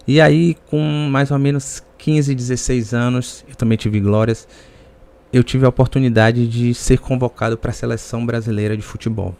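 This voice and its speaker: A man's voice: mid-range voice, darkish tone. A man from the Salvador area with a Bahian Brazilian accent, speaking as a podcast guest in conversational personal storytelling.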